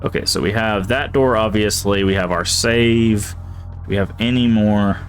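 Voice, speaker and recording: A man's voice: lowish voice, measured pace, faint music behind. Man thinking aloud over gameplay. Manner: thinks aloud, reads in-game items and notes. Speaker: streamer. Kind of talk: casual gameplay commentary.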